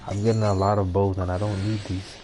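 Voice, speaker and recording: Low-voiced young man gaming casually. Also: flat delivery, quick pace, background noise. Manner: slangy, rambling. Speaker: gaming streamer. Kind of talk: casual gameplay commentary. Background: game music.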